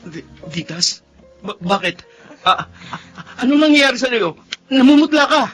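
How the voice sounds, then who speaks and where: Anguished young Filipino man, high-pitched. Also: very animated, fairly bright tone, heavily acted. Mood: panicked. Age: young adult. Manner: hesitant, pleading, halting. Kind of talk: melodramatic radio drama acting. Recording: studio radio drama with music underneath.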